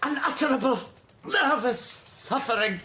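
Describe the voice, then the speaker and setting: Theatrical, volatile eccentric gentleman, wildly swooping voice. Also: extremely high pitch, expressive, a little slow, slight background noise, full character voice. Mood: self-pitying, anguished. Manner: theatrical, florid, swinging between outbursts and hushed brooding. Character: eccentric, melodramatic, self-pitying legless gentleman, volatile. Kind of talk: radio drama acting.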